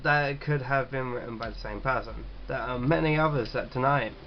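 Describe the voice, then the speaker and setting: A man's voice: mid-range voice, fairly quick, dull audio, slight background noise. A man hosting a casual gaming read-aloud, jokey and sweary, stumbling a little as he reads. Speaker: gaming video host.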